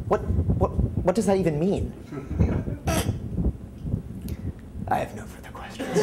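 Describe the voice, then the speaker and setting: A young man doing a stand-up comedy set on a club stage, mocking his subjects in an animated way and slipping into characters, speaking into a handheld mic. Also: very high voice, background noise. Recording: echoey club with laughing audience.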